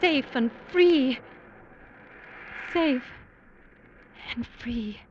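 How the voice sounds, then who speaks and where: A woman's voice: high-pitched, animated, very slow, a little mellow, exaggerated voice. Timid lonely girl, muffled noisy recording. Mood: wistful. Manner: wistful, hesitant, dramatic. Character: lonely, timid young woman haunted by fear. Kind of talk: 1940s-50s radio acting. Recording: muffled old radio recording, clear noise.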